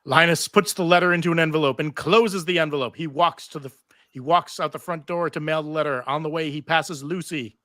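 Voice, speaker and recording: A man's voice: on the high side, fairly quick, a bit bright, no echo, clean studio sound. A man reading stage directions as narrator at a live scripted table read.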